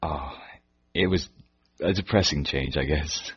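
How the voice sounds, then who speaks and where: A man from Canada with a Canadian accent that keeps traces of British, answering interview questions in a hesitant way with frequent pauses. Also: very deep voice, a bit bright, breathy edge. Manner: hesitant, pauses and restarts often. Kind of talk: casual interview chat. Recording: dull lo-fi recording.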